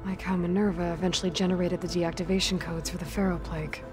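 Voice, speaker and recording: A woman's voice: level tone, fast talker, music in the background, close to an everyday voice. A woman playing an earnest young female adventurer in a video game, talking in a plain, thoughtful way. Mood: thoughtful, questioning. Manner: plain-spoken, thoughtful, low-key. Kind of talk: scripted video game dialogue.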